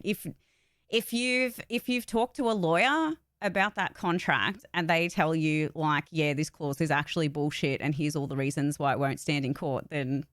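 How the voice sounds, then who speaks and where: A woman's voice: low voice, fairly bright tone, clean tone, no echo, studio quality. A woman chatting casually and candidly as a host, blunt and emphatic, swearing freely. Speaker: voice actor and channel host. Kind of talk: casual livestream chat, candid opinions.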